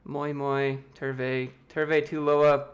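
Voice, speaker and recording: An American man chats through viewer questions on a live stream from a room at home, speaking into a desk mic. His delivery is loose and offhand, with quick jokes between answers. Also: on the high side, level tone, highs cut off. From United States. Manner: loose, joking, reads chat questions aloud and answers offhand. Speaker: YouTube host answering chat. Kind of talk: casual livestream Q&A.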